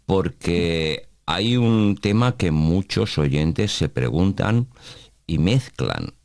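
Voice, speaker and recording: A man hosting a radio interview, putting questions to a guest in halting phrases with pauses. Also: low-pitched, very animated, vocal fry, a little mellow, no echo, clean studio sound.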